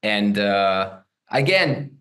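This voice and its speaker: A man's voice: on the low side, very animated, extremely slow, a bit bright. A man hosting an interview, probing with insistent questions and interjections. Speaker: interview host, magician. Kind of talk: casual video-call interview.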